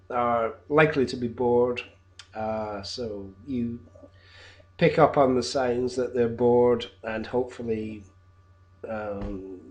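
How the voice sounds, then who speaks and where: A man in his fifties, a teacher talking to camera from his flat in a hesitant video job application, with a loud voice and frequent ums and uhs. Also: medium pitch. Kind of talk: unscripted video application monologue. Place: his own flat, indoors.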